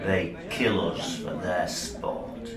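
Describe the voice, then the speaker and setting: Man acting a grandiose rugby obsessive, slow, distant. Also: sits low, fairly even intonation. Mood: impassioned. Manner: declamatory, emphatic repetition. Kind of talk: amateur radio play acting. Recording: roomy, distant, crowd noise behind.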